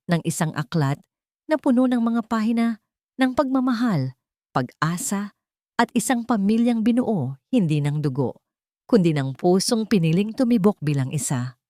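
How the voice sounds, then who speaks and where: Low-pitched woman storyteller, animated intonation. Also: no room sound, studio quality. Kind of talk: scripted romantic story narration.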